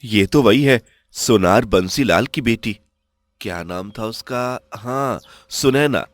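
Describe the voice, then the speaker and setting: A man voicing a humble, lovestruck young village blacksmith, earnest and halting, in a Hindi cartoon story. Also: mid-range voice, no room sound, close to an everyday voice. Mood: smitten.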